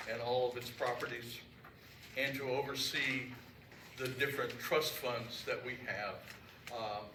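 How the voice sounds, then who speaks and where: A loud, joking man gives an off-the-cuff trustee report at a church council meeting. His voice is mid-pitched, and he holds a handheld mic. The sound is distant and echoey, with clear background noise. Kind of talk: informal talk at a meeting. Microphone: handheld microphone.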